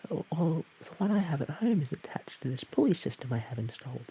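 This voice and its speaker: A man's voice: a little high, big pitch swings, brisk, warm tone, slightly rough, dull audio, voice pushed up, heavily acted. A man voicing a shy, awkward, friendly slime creature, stammering hesitantly in an audio roleplay addressed to the listener. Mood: awkward, earnest. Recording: hissy background noise.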